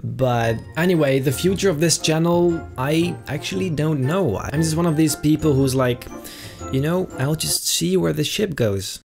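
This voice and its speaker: A man's voice: fairly high pitch, normal speed, creaky. Young man chatting casually over music. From Netherlands. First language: Dutch. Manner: relaxed, hesitant, with frequent fillers and trailing asides. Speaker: YouTuber answering viewer questions. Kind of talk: casual Q&A chat. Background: background music.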